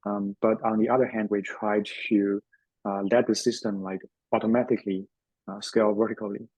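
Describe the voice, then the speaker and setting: Give me a man hosting a tech webinar, a bit low-pitched and hesitant, with a dry sound. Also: level tone, fairly quick, a little mellow, slightly rough, dry recording, lo-fi sound. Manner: hesitant, many fillers and restarts. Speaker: webinar host, developer advocate. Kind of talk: casual webinar presentation.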